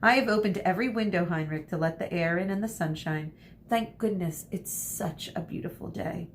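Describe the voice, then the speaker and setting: A fond, house-proud mother from a storybook voiced by a woman reading aloud. Her manner is lightly scolding and affectionate. The recording carries faint noise and rumble. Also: moderate pace, natural voice. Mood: pleased. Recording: noisy with low rumble.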